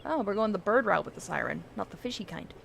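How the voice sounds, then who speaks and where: A woman's voice: medium pitch, expressive, fast talker, creaky, a bit bright, a little hiss or hum. A woman livestreamer giving casual, playful commentary while she plays a video game. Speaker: livestreamer, VTuber.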